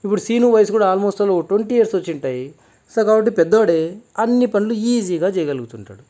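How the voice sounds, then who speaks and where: Higher-pitched man telling children's stories. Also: lively intonation, fairly quick, warm tone. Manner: conversational, explanatory, mixes in many English words. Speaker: storyteller. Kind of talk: informal children's moral storytelling.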